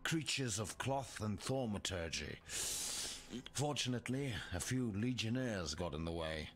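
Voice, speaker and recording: A man playing a grim, wary rogue survivor in a video game, speaking in a measured, sardonic way. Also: lowish voice, expressive, slight background noise. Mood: grim, wry. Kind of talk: video game character dialogue.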